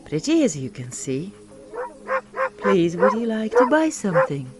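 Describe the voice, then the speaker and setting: A woman acting in a gothic radio drama as an ingratiating, ominous mourning peddler with halting, old-world phrasing. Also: mid-range voice, big pitch swings, over loud music. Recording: loud barking dog behind the voice.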